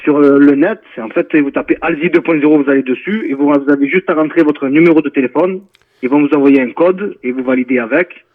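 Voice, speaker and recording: A man's voice: fairly high pitch, a little fast. Marseille-accented man on a tinny phone line. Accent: Marseille French. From Marseille, France. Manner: informal, repetitive, peppered with southern slang tags. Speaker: rapper, interview guest. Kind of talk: casual interview chat.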